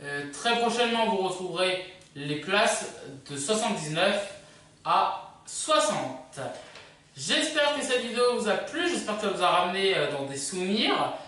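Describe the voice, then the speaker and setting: A man presents a countdown in a casual, animated way, with a high voice that swings widely. He sounds far from the microphone, chatting to viewers as a channel host. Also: normal speed. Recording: echoey room, distant microphone.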